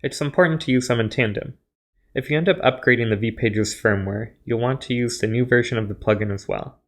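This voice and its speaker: A man presenting a scripted instructional tutorial, explaining step by step in a measured way. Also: mid-range voice.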